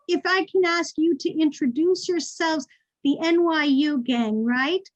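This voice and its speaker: A woman's voice: high-pitched, poor audio. A woman hosting a casual online conversation with artists and encouraging her guests. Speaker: host. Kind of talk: casual video-call chat.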